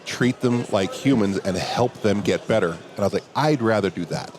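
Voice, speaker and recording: A man with a big voice, a security professional telling a relaxed story during a hallway chat at a conference. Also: lowish voice, moderate pace, a crowd in the background. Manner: relaxed anecdotal telling, quoting others in dialogue. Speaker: interview guest, security professional. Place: outdoors at a conference venue.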